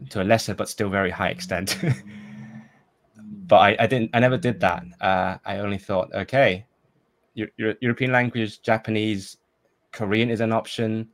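A man whose first language is Cantonese, chatting as a podcast guest in a thoughtful, halting way with many fillers. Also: on the low side, gravelly. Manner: thoughtful, hesitant with frequent fillers and restarts. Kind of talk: casual conversation.

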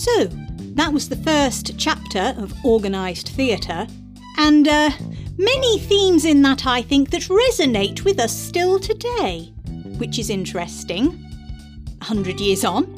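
A woman's voice: high voice, very animated, a little slow, music in the background. A woman podcast host who reads and speaks in an articulate, theatrical, emphatic manner. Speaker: podcast host reading aloud. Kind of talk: dramatic reading of an essay, then informal podcast chat.

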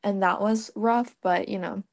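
Young woman from Vietnam, gravelly fry. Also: medium pitch, measured pace. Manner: relaxed storytelling with many ums and likes. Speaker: model, podcast guest. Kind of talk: casual interview chat.

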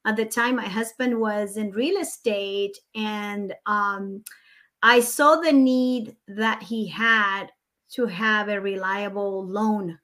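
A woman's voice: medium pitch, fairly even intonation, takes it slowly, a bit bright. A woman from Nicaragua, a native Spanish speaker, talking as a mortgage consultant guest in a casual, friendly way with frequent ums. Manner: friendly, laughs easily, filler-heavy. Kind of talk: casual podcast interview.

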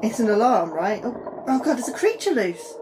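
A woman's voice: fairly high pitch, sing-song, moderate pace, over music. A woman acting an anxious, tired astrobiologist in a sci-fi horror podcast, talking conversationally over a noisy ambience. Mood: alarmed. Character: anxious, tired female astrobiologist in a secret Antarctic facility.

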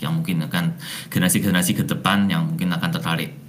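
A man's voice: low-pitched, fairly even intonation, fast, slight background noise. A young man from Indonesia, talking reflectively as an interview guest, with many fillers and some English phrases. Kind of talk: casual interview chat.

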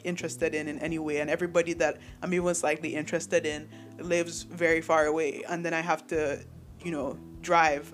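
A man's voice: fairly high pitch, a bit bright. A young man from Nigeria hosting a solo podcast, talking in a rambling, candid way, often restarting his sentences and laughing. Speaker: podcast host.

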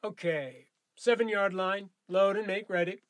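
A male firearms instructor in an audio drama. His voice is high for a man and recorded very dry. He gives clipped orders with firm, genial authority. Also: unhurried, fairly bright tone, no echo. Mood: commanding. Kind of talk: naturalistic audio drama acting.

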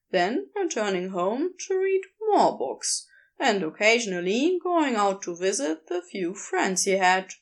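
A woman narrating a written story in an expressive, unhurried storytelling manner. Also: fairly high pitch. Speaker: podfic narrator.

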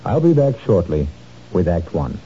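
A male host narrating a mystery introduction in a dramatic, measured storytelling manner. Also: deep voice, sing-song, heavy background noise. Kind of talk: scripted narration. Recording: dull lo-fi 1970s radio broadcast with music. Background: music.

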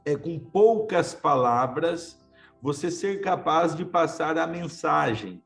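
A man speaks in a high voice, thinking aloud in short, restarting phrases. He is a lawyer in a relaxed conversation, and soft music plays faintly behind him. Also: slow pace, vocal fry. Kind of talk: casual conversation.

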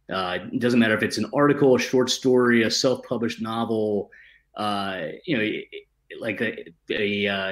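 Low-voiced man, halting and casual. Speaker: writer, panel guest. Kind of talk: casual panel discussion.

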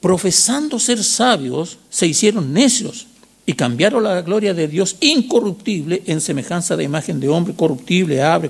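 A man in his sixties with a Chilean accent preaching a sermon, teaching didactically with emphatic repetition and short check questions, in a gravelly, husky voice. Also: on the high side, a little mellow. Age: 60s. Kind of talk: sermon with Bible reading and commentary.

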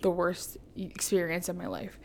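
A woman's voice: on the low side, level tone, moderate pace, vocal fry, darkish tone. A young adult woman co-hosting a casual chat, rambling with many fillers and poking fun at herself. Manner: self-mocking, playful. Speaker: podcast co-host.

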